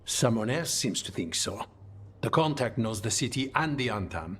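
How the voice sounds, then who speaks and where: An actor playing a businesslike, secretive spymaster in a fantasy video game, speaking firmly and formally. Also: male voice, medium pitch, expressive, moderate pace, slight background noise, lightly acted. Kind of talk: video game dialogue acting. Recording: game music underneath.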